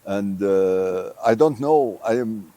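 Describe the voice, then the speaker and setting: An older man from Morocco answers interview questions slowly, searching for words and restarting often. His voice is low and mellow. The sound is dry and close. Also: no room sound. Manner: hesitant, many fillers and restarts. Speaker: visiting professor of tourism. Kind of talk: halting interview answers in English.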